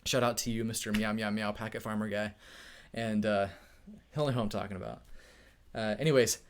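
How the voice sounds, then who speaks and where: A man's voice: on the low side, fairly even intonation, brisk. A man hosting a casual live-stream Q&A, upbeat and chatty, laughing often, feeling hot. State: overheated. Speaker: tech YouTuber hosting a live Q&A.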